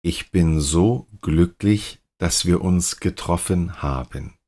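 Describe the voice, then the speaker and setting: A man whose first language is German, slowly repeating example sentences as a language teacher. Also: low-pitched, warm tone.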